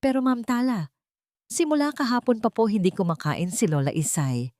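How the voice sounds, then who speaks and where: A woman's voice: a little high, big pitch swings, moderate pace, darkish tone, no room sound, studio quality, voice pushed up. Fearful, polite young housemaid, played by a woman narrator in an audio drama. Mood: pleading. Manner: trembling, polite pleading. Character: frightened young female housemaid, pleading politely.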